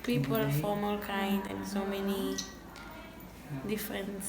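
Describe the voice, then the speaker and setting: A woman's voice: mid-range voice, monotone, slow, vocal fry. A 20-year-old woman with an Israeli accent, a Hebrew speaker, talking emphatically in simple English. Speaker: interviewee. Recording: roomy echo with crowd chatter.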